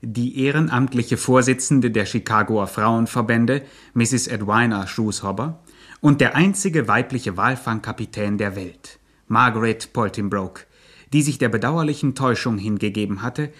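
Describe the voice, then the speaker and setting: Male co-narrator, radio satire: average pitch, formal chronicler delivery with irony. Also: normal speed, fairly bright tone.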